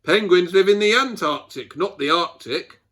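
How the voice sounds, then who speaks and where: A man voicing a furious male wildlife presenter in a picture book, scolding with emphatic, drawn-out words. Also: high voice, lively intonation, fairly bright tone, voice pushed up.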